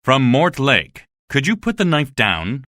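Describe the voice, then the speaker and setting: A man's voice: mid-range voice, huge pitch swings, unhurried, pushed and bright, no room sound, clean studio sound, full character voice. A man playing an anxious, earnest survivor in a dramatized story, questioning and incredulous in manner. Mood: guarded, weary. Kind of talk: dramatized audiobook dialogue.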